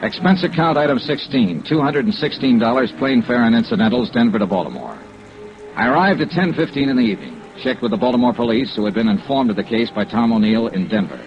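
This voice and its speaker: An actor playing a tough, dogged insurance investigator in vintage radio detective drama, with a clipped, matter-of-fact delivery. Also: male voice, medium pitch, lively intonation, quick pace, over music, close to an everyday voice. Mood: clipped, businesslike. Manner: clipped, hard-boiled, matter-of-fact narration. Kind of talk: 1940s-50s radio detective drama acting. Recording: dull vintage radio recording.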